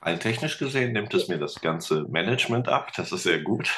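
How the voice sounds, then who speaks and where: A man, a teacher and guitarist, talking as a podcast guest in a relaxed, hesitant way, with many fillers. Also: sits low, a little fast, slight background noise. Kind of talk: casual interview talk.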